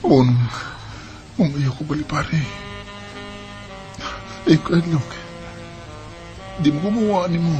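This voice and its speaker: Ardent young Bisaya boyfriend, faint music. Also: male voice, a little high, lively intonation, unhurried, long pauses, mellow. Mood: pleading, insistent. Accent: Filipino, Bisaya. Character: young male college boyfriend, insistent, ardent. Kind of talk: Bisaya radio drama acting.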